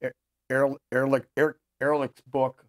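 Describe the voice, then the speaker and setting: An American man in his fifties hosting a casual interview, talking in a halting way as he searches for words. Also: mid-range voice, level tone. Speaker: host. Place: small book-lined room indoors. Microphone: lapel mic.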